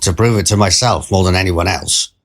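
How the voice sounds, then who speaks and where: Low-pitched man, wry storyteller. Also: brisk. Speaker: storyteller, performer. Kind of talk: first-person scripted story narration, conversational.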